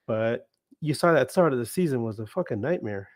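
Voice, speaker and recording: A man's voice: medium pitch, expressive, a little fast, dry recording, studio quality. A man co-hosting a sports podcast, chatting casually and rambling with jokes, tired after a long day. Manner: rambling, joking, laughs often. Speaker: podcast co-host.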